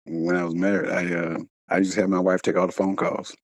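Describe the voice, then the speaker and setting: A man speaking as a guest author in a reflective interview, earnest and halting, with a slight speech impediment that makes him repeat words. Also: sits low, level tone, no room sound. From United States.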